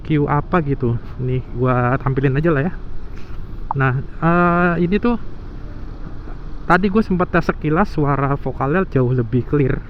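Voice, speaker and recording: A man's voice: fairly high pitch, unhurried, long pauses. A man with speech, chatting casually and thinking aloud to viewers as a motovlogger, recorded on a helmet intercom microphone. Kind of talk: casual vlog chat to viewers. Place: outdoors, riding a motorbike. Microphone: intercom microphone inside helmet. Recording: dull helmet intercom mic, loud traffic noise.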